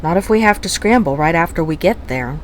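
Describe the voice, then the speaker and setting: A woman's voice: on the low side, animated, a little fast, slight background noise, lightly acted. A woman playing a defiant, sarcastic fighter pilot who argues with her commander in a fan audio drama. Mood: sardonic. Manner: sarcastic, argumentative.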